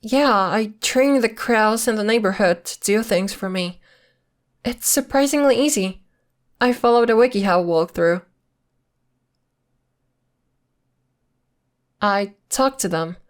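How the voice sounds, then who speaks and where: Woman playing a slow-talking, coy witchy girlfriend. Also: mid-range voice, lightly acted. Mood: coy, defensive. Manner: coy, teasing, casually conversational. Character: playful, evasive girlfriend who is secretly a witch. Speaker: voice actor. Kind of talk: ASMR roleplay acting, intimate one-sided dialogue.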